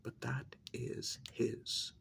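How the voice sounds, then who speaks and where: A man playing a stern, disappointed senior agent of a secret government agency in a soft-spoken ASMR role-play, breathy and gravelly, with a deliberate, formal, condescending manner. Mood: grave, explanatory. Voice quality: breathy, half-whispered, gravelly.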